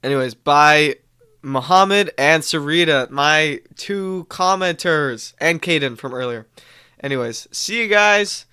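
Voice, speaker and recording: A young man, a little congested from a cold, talks to viewers on a live stream. His voice is bright and smooth and sits a bit high, and he rambles, stopping often to correct himself. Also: expressive, measured pace. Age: young adult. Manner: rambling, self-correcting, upbeat. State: stuffy from a cold, coughing. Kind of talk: casual live-stream chat.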